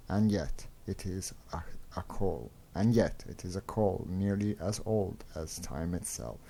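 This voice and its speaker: A man narrates in a very low, creaky voice, reading a dramatic story from a script. Clear noise sits behind his voice. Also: dark tone. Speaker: narrator reading a comic book aloud. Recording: noticeable background noise.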